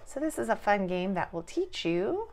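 A woman's voice: medium pitch, very animated, normal speed, slight background noise. A woman hosting a casual children's lesson as a preschool teacher, talking encouragingly and with exclamations over a tabletop game. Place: indoors at a tabletop.

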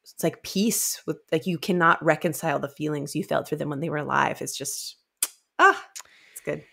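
A young woman with a notably low voice co-hosts a book podcast. She speaks casually and reads passages aloud, close to a studio microphone indoors. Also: moderate pace, gravelly, a bit bright. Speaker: podcast co-host. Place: indoor room, remote video call.

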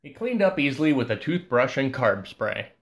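A man giving a calm, scripted voice-over that walks through a repair step by step. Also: medium pitch, moderate pace. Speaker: repair channel host.

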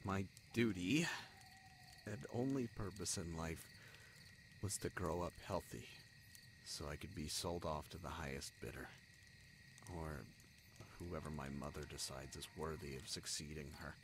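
A man acts out a young exiled prince in a fantasy audio drama. His voice is low, bitter and wounded, coming in halting phrases with heavy pauses. Faint night-forest noise with crickets sits behind him. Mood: subdued, resigned. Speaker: voice actor in an audio roleplay.

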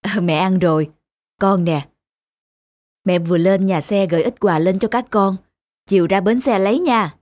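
A woman voicing a kindly older countryside grandmother in an animated dub, with a warm, homely manner over music. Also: mid-range voice, moderate pace, long pauses, close to an everyday voice. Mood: affectionate.

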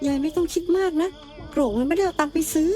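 Cartoon ghost pal acted by a woman: female, chirpy, very high, rapid, opinionated. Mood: concerned, chatty. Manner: quick, chiming in, conversational. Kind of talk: cartoon comedy dialogue.

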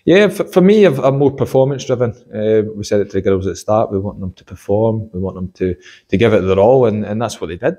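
A young man, an assistant football manager, giving a measured post-match interview with many fillers, in a stadium corridor. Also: on the low side, animated, warm tone. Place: corridor by a sports changing room.

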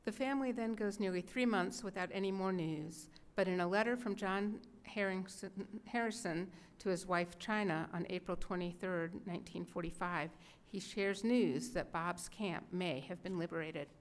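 A middle-aged woman narrates from a script at a podium. Her voice sits a little low for a woman. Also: normal speed. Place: indoor museum gallery at a podium. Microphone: podium microphone.

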